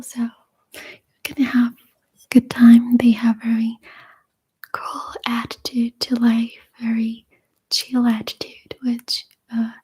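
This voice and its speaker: A young woman with a Russian accent, whispering softly and hesitantly as she answers viewer questions. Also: a little high, slightly rough. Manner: soft unvoiced whispering, hesitant with fillers. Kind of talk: whispered ASMR Q&A.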